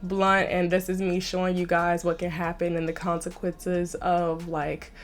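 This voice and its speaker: A woman's voice: fairly low pitch, level tone, measured pace, faint music behind. A young woman with a stuffy nose from a slight cold, chatting enthusiastically to camera in a casual fashion vlog. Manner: chatty and enthusiastic, says "like" often. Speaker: fashion vlogger.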